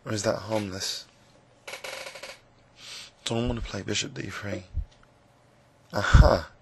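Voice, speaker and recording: A man with a croaky, gravelly voice, musing hesitantly with many fillers as he commentates his own blitz chess game. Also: on the low side, slow pace. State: croaky voice, clearing throat. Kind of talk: live game commentary, thinking aloud.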